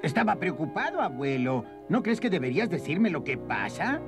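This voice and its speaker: A man's voice: on the high side, sing-song, normal speed, music in the background. A man playing a caring, earnest elderly gnome village leader in a cartoon. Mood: concerned. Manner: earnest, protective. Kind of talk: cartoon character acting.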